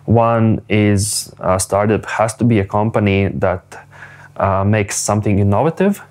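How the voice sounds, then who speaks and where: A man's voice: on the low side, unhurried, a little mellow. Male interviewee, methodical, definitional delivery. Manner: methodical, listing criteria.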